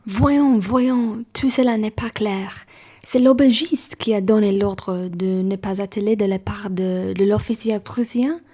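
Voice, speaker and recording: Woman doing a posh indignant gentleman traveller, husky and soft-toned, formal, amateur radio drama. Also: mid-range voice, a little slow. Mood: indignant, commanding. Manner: formal, emphatic, theatrical. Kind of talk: amateur radio play acting.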